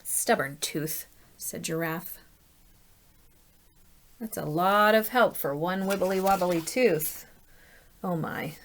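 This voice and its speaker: A woman reading a children's story aloud as a teacher, in an animated, deliberate way, putting questions to her listeners. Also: lowish voice.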